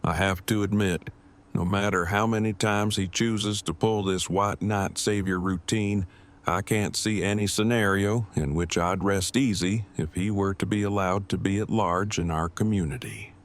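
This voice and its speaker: A man's voice: low-pitched, fairly even intonation, normal speed, natural voice. A man playing a wordy, protective husband and father in an audio drama, speaking in a stiffly formal, precise way. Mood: guarded, earnest.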